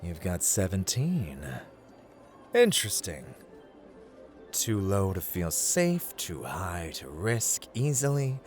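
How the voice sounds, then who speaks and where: A man playing a playful, taunting god of luck who deals cards, in a theatrical ASMR roleplay with deliberate speech and hushed murmurs. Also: deep voice, wildly swooping pitch, soft background music, exaggerated voice. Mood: coolly teasing. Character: playful, taunting male god of luck, smooth-talking card dealer.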